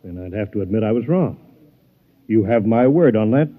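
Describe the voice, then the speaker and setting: A man's voice: on the low side, lively intonation, moderate pace, lightly acted. An actor playing a cold, ruthless wealthy businessman who lectures in a 1950s radio drama. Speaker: rich rival. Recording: thin phone-like old radio sound.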